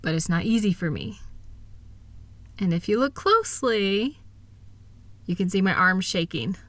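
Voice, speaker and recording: A woman plays an affectionate, capable wife in a comfort roleplay. Her voice is bright and recorded very dry and close. She is gentle and reassuring, with a light teasing touch. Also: mid-range voice, expressive, measured pace, no room sound. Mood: soothing, reassuring. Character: kind, upbeat, capable wife soothing her insecure partner. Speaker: audio roleplay performer. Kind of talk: intimate comfort audio roleplay.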